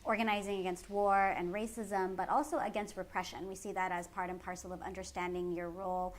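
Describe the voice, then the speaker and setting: A woman's voice: on the low side, level tone, a little fast. A woman from the San Francisco Bay Area with a Californian American accent, giving articulate, earnest explanations as a community organizer in an interview. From San Francisco Bay Area, United States. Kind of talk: explanatory interview answers about organizing work. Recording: clearly noisy background ambience.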